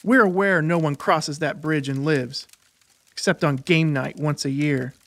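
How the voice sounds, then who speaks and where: A woman's voice: sits low, a little slow, no room sound, voice pushed down. A woman plays a commanding teenage boy in an audiobook story. He is stern, firm and demanding, and the recording is dry and close. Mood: firm, serious. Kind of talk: audiobook character acting.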